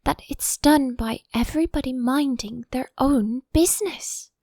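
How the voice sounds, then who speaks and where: A woman's voice: high voice, unhurried, mellow, dry recording, studio quality, pitched above the performer's own voice. A woman voicing a polite, curious young girl in a storybook, careful and earnest in manner. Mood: polite, tentative.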